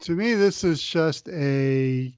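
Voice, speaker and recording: A man with a somewhat high voice talks sports on a podcast in a wry, chatty way, often hesitating mid-thought. The recording is dry and close, with no room sound. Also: slow pace, a little mellow, clear, resonant tone, no echo. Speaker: podcast co-host. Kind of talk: casual sports talk.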